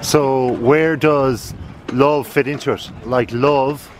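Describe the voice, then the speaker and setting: A man's voice: medium pitch, steady tone, takes it slowly, noisy background. A man hosting a podcast, asking casual, probing questions with many fillers out on the street. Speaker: podcast host. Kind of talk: street interview. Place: outdoors on a street.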